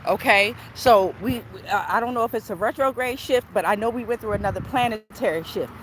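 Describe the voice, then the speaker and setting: A woman's voice: on the high side, brisk. A woman hosting a casual livestream on a phone while out walking, informal, repeating her phrases and checking in with her followers. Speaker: livestream host and spiritual tarot reader. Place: outdoors on a walk near traffic. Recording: outdoor street and traffic noise.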